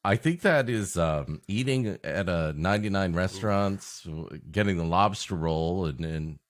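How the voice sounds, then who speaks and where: A man's voice: low voice, unhurried. A man co-hosting a comedy podcast, bantering playfully in casual chat and often laughing.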